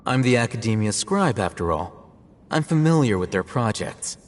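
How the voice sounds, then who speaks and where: An actor playing a composed, analytical male scholar and scribe in a game, explaining his deductions in a calm, measured, lecturing way. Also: medium pitch, natural voice. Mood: deducing. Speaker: academy scribe. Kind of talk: game cutscene voice acting. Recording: reverberant with game music.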